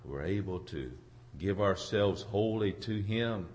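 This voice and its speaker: A hoarse man preaching an informal sermon in a halting, folksy way, searching for his words and clearing his throat. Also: sits low, lively intonation, a little mellow. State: hoarse from a cold. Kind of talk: informal sermon with congregation responses.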